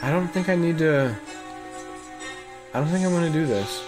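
A man's voice: on the high side, moderate pace. A man chatting casually with a friend as he plays a video game, slangy and sweary, with frequent "like". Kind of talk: casual let's-play chat with a friend. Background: game music.

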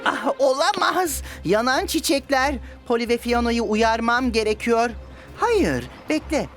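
A woman's voice: fairly high pitch, lively intonation, measured pace. A woman voicing a naive, scatty, eager young crow in a preschool cartoon dub. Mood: panicked. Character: clumsy, eager young crow longing to be a hero. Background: music.

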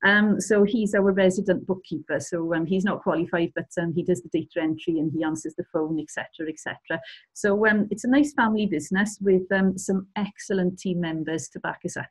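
A woman in her fifties from South Wales, speaking with a South Welsh accent and a husky voice pitched a little low. She runs an accounting practice and answers questions over a video call, pausing often with ums. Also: poor audio. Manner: hesitant, with frequent ums.

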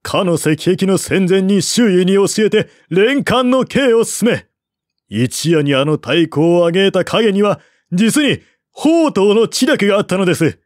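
A man's voice: high-pitched, expressive, unhurried, no room sound, clean studio sound, pitched above the performer's own voice. A man voicing an earnest, loyal royal advisor in an audiobook, polite, formal and persuasive. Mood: urgent pleading. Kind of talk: audiobook character voice.

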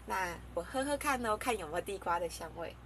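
A woman's voice: medium pitch, animated, quick pace, fairly bright tone, slight background noise. A young woman casually chatting to the camera while reviewing coffee, talking in a hesitant way with many fillers and giggles. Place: small room indoors.

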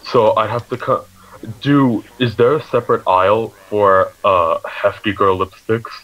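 A man playing an earnest, naively persistent hefty girl shopper on a prank phone call, speaking haltingly. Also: fairly low pitch, fairly even intonation, a bit bright. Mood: hesitant, earnest.

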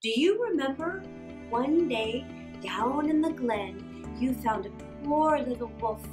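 A woman's voice: high voice, expressive, slow, over music. A woman voicing a fierce, solemn young male wolf shapeshifter in a children's storybook, with a commanding manner. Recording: roomy indoor echo.

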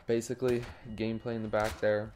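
A young man with a sore throat, his voice hoarse, giving rambling, apologetic voice-over commentary over gameplay. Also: on the low side, flat delivery, fairly quick, a little mellow, a little hiss or hum. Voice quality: hoarse, gravelly. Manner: rambling, apologetic, many ums. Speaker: gaming YouTuber. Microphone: home desk mic with a cough drop.

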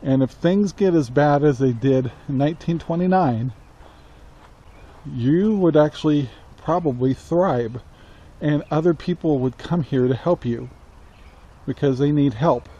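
An American man in his sixties talking casually to camera outdoors, halting, reflective and plain-spoken. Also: fairly high pitch, mellow, breathy edge, highs cut off. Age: 60s. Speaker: country living vlogger. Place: outdoors on a rural yard beside a metal barn. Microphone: camera at arm's length. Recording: faint outdoor ambient noise.

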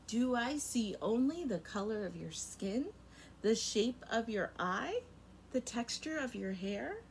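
A young woman teacher reading a picture book aloud to kindergarten children, very expressive, her loudness rising and falling sharply. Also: a little high, slow, slight background noise. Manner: expressive and animated, with big swings in loudness. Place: home room in front of a rainbow feather backdrop.

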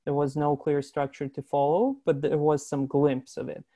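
Flat-voiced man with a lilt. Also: fairly high pitch, no room sound, clean studio sound. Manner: flat, measured, pauses with fillers. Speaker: entrepreneur, founder. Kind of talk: casual video call intro. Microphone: video call.

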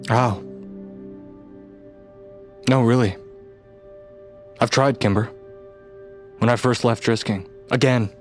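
A man's voice: mid-range voice, steady tone, takes it slowly, pauses often, lightly acted. An actor playing a troubled, weary man in his late twenties in an audio drama, guarded and stammering. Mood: bitter, frustrated. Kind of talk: naturalistic audio drama acting. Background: music.

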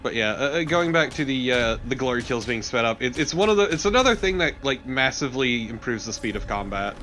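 A man's voice: mid-range voice, lively intonation, brisk, pushed and bright, a little hiss or hum. A man talking casually as a co-commentator on a video game. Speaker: let's play co-commentator.

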